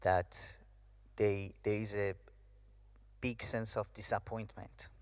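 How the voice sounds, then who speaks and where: A man's voice: on the low side, little pitch movement, slow, smooth, no echo. A young man from Iran giving a hesitant personal testimony, with many fillers. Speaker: speaker sharing his story.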